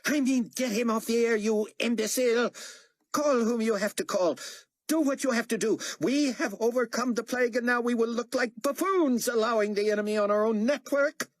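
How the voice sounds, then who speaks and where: A man acting out a furious male tyrant who screams every command. The voice rides far above the reader's own pitch, loud and swinging wildly, with a husky, breathy edge in a dry, close sound. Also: animated, unhurried, few pauses, dry recording, heavily acted. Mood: raging. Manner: screaming orders, furious and contemptuous. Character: raging male tyrant ruler, imperious, murderous.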